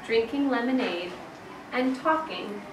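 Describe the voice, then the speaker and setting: Woman, elementary teacher, expressive read-aloud, very varied pitch, distant mic, noisy classroom. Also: measured pace, echoey room. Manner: animated, dramatic storytelling with emphasis on key words. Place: indoor school classroom, with children present.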